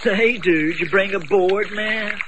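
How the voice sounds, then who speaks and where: A carefree teen surfer dude drawls slang slowly in a high, laid-back voice. The radio drama recording is clearly noisy. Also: male voice. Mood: amused, carefree. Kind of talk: audio drama acting.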